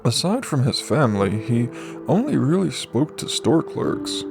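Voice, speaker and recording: A man's voice: medium pitch, big pitch swings, unhurried, gravelly, music in the background. A man reading out scripted narration in the manner of an amateur audiobook. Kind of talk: scripted light novel narration.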